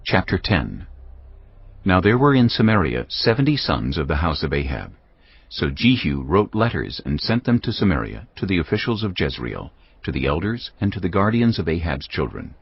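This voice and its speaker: A man's voice: sits low, very animated, moderate pace, slightly rough. A man narrating a dramatised audio Bible as a scripted, dramatic reading. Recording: dull lo-fi audio, music bed.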